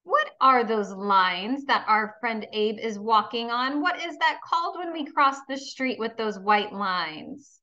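Slow, bright-voiced woman teaching young children. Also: a little high, lively intonation, highs cut off. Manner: encouraging, instructional, addressing young pupils with questions. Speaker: kindergarten teacher. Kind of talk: children's read-aloud lesson.

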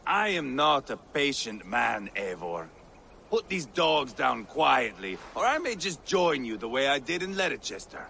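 An actor playing a fierce, boastful Viking warlord who relishes violence in a video game. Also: male voice, mid-range voice, very animated, a little slow, vocal fry, pushed and bright, a little hiss or hum, full character voice. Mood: impatient. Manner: bold, bloodthirsty, mocking bravado. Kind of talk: video game cutscene acting.

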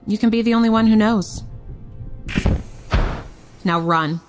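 A woman's voice: mid-range voice, unhurried, faint music behind, natural voice. A woman playing a wistful, foul-mouthed fighter in audio fiction, speaking in a confessional, blunt way. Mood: earnest, urgent.

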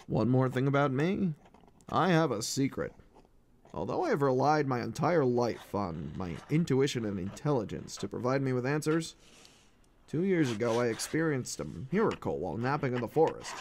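A man's voice: mid-range voice, animated, moderate pace, darkish tone, a little hiss or hum, lightly acted. A man voicing an eccentric, obsessive middle-aged paranormal researcher, reading his journal as dramatic audiobook narration in an erudite, emphatic way. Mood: confiding. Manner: theatrical, erudite, emphatic delivery.

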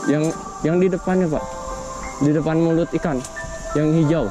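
A man's voice: on the high side, fairly even intonation, normal speed. A man hosting a fishing vlog on a river boat, talking urgently and repeating short instructions. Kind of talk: excited, urgent talk while landing a fish. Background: music.

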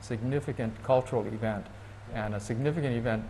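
A man from Hawaii, a guest in an interview, speaking thoughtfully and haltingly with many restarts. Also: medium pitch, steady tone, dark tone, slight background noise, poor audio. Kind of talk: reflective interview talk.

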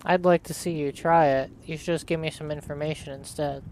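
A man's voice: a little high, fairly even intonation, a little fast, a little mellow, slight background noise. A man hosting a let's play, reading his character's dialogue aloud and narrating as he plays.

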